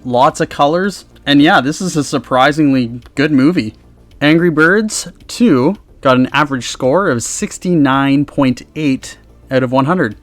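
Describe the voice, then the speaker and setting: A man's voice: medium pitch, no echo. A man hosting a countdown review in a casual, chatty, enthusiastic way, speaking close to the microphone. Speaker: video host and reviewer. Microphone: close USB microphone.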